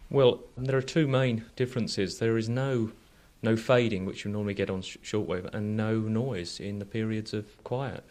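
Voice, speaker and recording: Male engineer guest, lowish pitch, careful conversational answers with some hesitation. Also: fairly even intonation, normal speed, few pauses, a little mellow.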